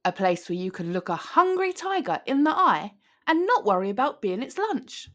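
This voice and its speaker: Animated woman reading a children's story. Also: a little high, normal speed. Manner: theatrical, with stretched words for emphasis. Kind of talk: dramatic read-aloud of a picture book.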